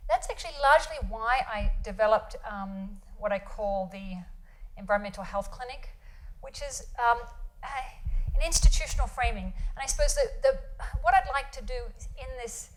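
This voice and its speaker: A woman with an Australian accent giving a university guest lecture in a quiet voice, breaking off mid-sentence and asking rhetorical questions. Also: fairly high pitch, lively intonation, normal speed, poor audio. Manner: quiet, self-interrupting, rhetorical questions with "right?". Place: lecture hall.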